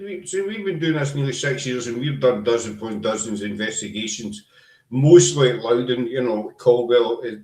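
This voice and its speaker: A man from Glasgow with a Scottish accent, hosting a casual chat and talking in a chatty, rambling, emphatic way. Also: on the high side. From Scotland. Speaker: podcast host. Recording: roomy echo.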